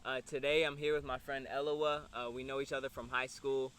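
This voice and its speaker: Bright-voiced young man hosting an interview. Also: medium pitch, little pitch movement, fairly quick. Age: 20s. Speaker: interview host. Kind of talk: casual interview chat. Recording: clear background noise.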